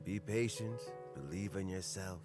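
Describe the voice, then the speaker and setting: An actor playing a wise, weary old mentor in a video game, measured and gently philosophical. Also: male voice, low voice, loud music behind the voice. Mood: wry, gentle. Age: older.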